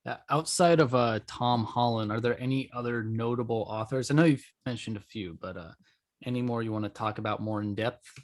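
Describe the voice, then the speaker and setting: A man's voice: medium pitch, a little fast, no echo, studio quality. A man hosting a podcast, putting questions to a guest in a casual, conversational style. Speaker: podcast host interviewing a guest.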